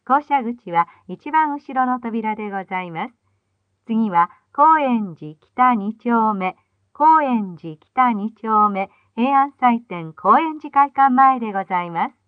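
Female transit announcer, formal and precise diction, fairly high pitch, dry sound on band-limited vintage tape. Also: lively intonation, takes it slowly, dry recording. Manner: polite, formal, carefully enunciated. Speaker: bus announcer. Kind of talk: scripted in-vehicle bus stop announcements. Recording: dull phone-like old tape playback.

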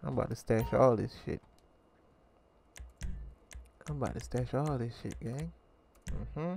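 Gravelly slangy gaming YouTuber man. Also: medium pitch, measured pace, darkish tone, a little hiss or hum. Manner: slangy, laid-back, calls viewers gang. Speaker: gaming YouTuber playing a role-play series. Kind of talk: casual gameplay commentary.

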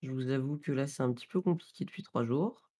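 A man's voice: medium pitch, fast, mellow, husky. A man talking casually on a voice chat, hesitant and apologetic, as a server admin. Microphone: voice chat over computer mic.

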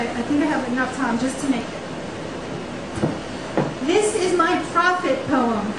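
High-pitched woman reading poetry. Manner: emphatic, deliberate phrasing with rhetorical repetition. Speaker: poet reading own work. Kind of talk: dramatic spoken-word poetry reading. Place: small indoor poetry venue on stage. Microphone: stand microphone, picked up by camera. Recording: echoey live room, distant camera, faint hiss.

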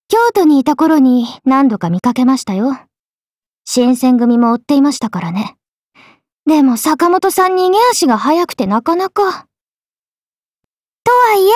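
A woman's voice: high-pitched, no room sound, clean studio sound. A woman voicing a young swordswoman character in a video game. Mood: polite, curious. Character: swordswomen: a lively young one and her stoic alter self.

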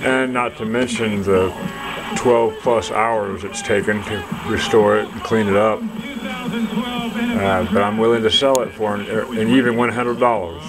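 A man giving a casual, halting product demonstration from behind a hand-held camera indoors, as if selling something. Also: fairly low pitch, fairly even intonation, gravelly, music in the background, poor audio. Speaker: seller demonstrating a restored vintage radio signal tracer. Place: dim indoor room, speaking from behind the camera.

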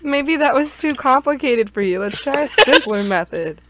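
Higher-voiced woman on a game stream. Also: lively intonation, moderate pace, a bit bright, low-quality recording. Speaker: player in a group gaming stream. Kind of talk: reading visual novel dialogue aloud and casual chat.

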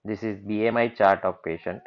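A man giving a plain, matter-of-fact walkthrough of software over a screen recording. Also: on the low side, fairly even intonation, normal speed, highs cut off. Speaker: software demonstrator.

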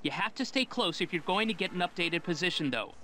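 A man's voice: high-pitched, expressive, quick pace. A man playing a businesslike gadget expert giving instructions in a video game. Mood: instructive. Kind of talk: video game cutscene acting. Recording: game audio over loud noise.

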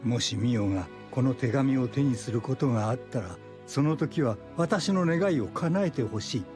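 A man playing an ailing elderly researcher in anime, reading out his letter to his granddaughter in a solemn, measured, regretful way. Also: mid-range voice, animated, over music, close to an everyday voice. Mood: regretful, pleading. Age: older. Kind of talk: dramatic letter narration in anime.

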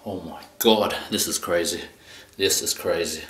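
A man's voice: low-pitched, measured pace, a bit bright. A young man giving casual commentary on an eating video, talking in short exclamations between bites, picked up from a little distance indoors. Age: young adult. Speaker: mukbang video host. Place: indoors against a plain dark backdrop. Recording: roomy, distant mic, faint noise.

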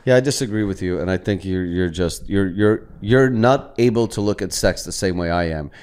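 A man's voice: deep voice, moderate pace, darkish tone, clear, resonant tone. A man in his thirties from the US East Coast, making blunt, crude, self-deprecating podcast banter on a close studio mic. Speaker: podcast host. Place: indoor studio. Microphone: close boom-arm studio microphone.

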